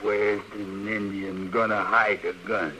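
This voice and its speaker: A bullheaded, scornful man of the American frontier, with a lowish, bright-edged voice. He drags out his words bitterly in old radio western style, over faint recording noise. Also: level tone, slow pace. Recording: noisy vintage radio recording.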